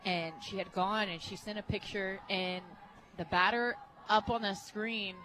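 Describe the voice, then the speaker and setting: An American woman giving conversational color commentary on a softball radio broadcast, as an analyst. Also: medium pitch, steady tone, unhurried, rough recording. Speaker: color analyst, former player. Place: stadium radio booth, open to outdoor noise. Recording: clear outdoor ballpark noise.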